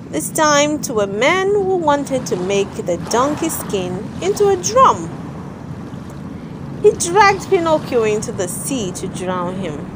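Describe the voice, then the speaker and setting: High-pitched, slow, animated woman storyteller. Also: background noise. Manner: expressive, dramatic delivery with emphasized words. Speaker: storybook narrator. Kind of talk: read-aloud children's story narration.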